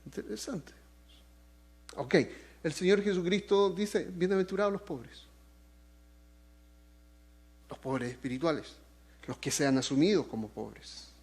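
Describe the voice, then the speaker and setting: A Chilean-accented man preaching in a slow, deliberate cadence, his voice sitting a little higher than most men's. He swings between loud, rising emphasis and soft, dropped phrase endings. Now and then he breaks off to joke with the people listening. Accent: Chilean Spanish. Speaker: pastor. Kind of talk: sermon.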